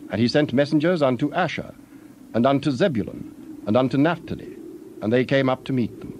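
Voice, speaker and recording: A man narrating scripture from a script in a measured, formal manner. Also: mid-range voice, a little mellow, dull audio, slight background noise.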